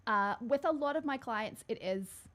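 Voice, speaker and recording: A woman's voice: a little high, expressive, normal speed, fairly bright tone. A young adult woman from Australia, with an Australian accent tinged by New Zealand, talking casually and with self-deprecating humour as a podcast guest. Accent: Australian, partly New Zealand-tinged. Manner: chatty, self-deprecating, laughs easily. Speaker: podcast guest, style coach. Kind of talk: casual chat.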